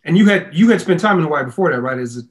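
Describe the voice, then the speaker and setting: A man hosts a casual interview. His voice is a little higher than average for a man, and he tends to restart and reword his questions as he puts them to the guest. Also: expressive, rapid-fire. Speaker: podcast host, interviewer.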